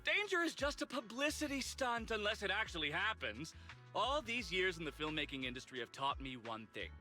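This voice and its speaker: Game role: grandiose, excitable film director, very animated intonation, music behind. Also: male voice, high-pitched, a little fast, full character voice. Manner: theatrical, grandiose. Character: excitable, hype-hungry male film director. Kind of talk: anime-style video game acting.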